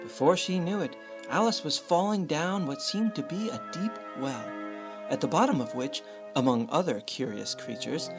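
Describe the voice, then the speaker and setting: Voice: mid-range voice, lively intonation, normal speed, lightly acted. A narrator reading scripted storybook narration for a radio play. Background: music.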